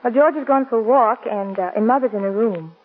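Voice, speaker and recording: A woman's voice: on the high side, brisk, slightly rough. An actress playing a long-suffering, earnest young woman with restrained firmness, in a 1940s radio drama. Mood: polite, guarded. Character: long-suffering, restrained young sister, gentle but firm. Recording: muffled, scratchy 1940s radio broadcast.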